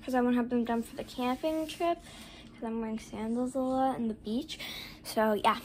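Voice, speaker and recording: A young girl talks casually to her phone camera from her bedroom, rambling along with plenty of "like" fillers. Her voice sits high and bright. A steady noisy room hiss runs underneath. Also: normal speed. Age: 11, child. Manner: rambling, chatty, many "like" fillers. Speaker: vlogger. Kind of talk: casual vlog chat. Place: bedroom, indoors. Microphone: phone camera on desk.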